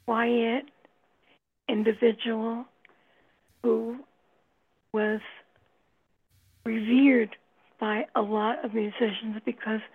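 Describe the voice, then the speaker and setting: A woman on a tinny phone line talking unscripted on radio, haltingly, in short phrases with long pauses. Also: a little high, husky.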